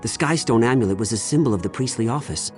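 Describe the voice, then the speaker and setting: Fast-talking learned male scholar acted by a man. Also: mid-range voice, soft background music, lightly acted. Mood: scholarly. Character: brisk learned male scholar of ancient religion. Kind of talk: fantasy game dialogue.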